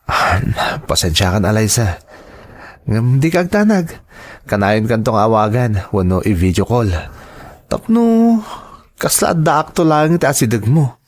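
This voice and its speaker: A man's voice: medium pitch, very animated, a little slow, long pauses. A man acting in a radio drama as a shy, earnest young man in love, with an Ilocano accent and a hesitant delivery. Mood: apologetic.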